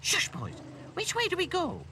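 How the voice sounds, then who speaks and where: A man voicing a chirpy, easily flustered mother in a children's story, in a high-pitched voice. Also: huge pitch swings, measured pace, pushed and bright, slight background noise, exaggerated voice.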